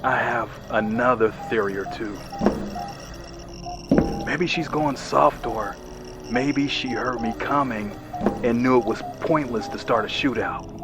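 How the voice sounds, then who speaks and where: An actor playing a ruthless, pragmatic enforcer in a sci-fi horror audio drama, wry and coldly mocking. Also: male voice, medium pitch, a little slow, long pauses, loud music behind the voice, lightly acted. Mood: smug.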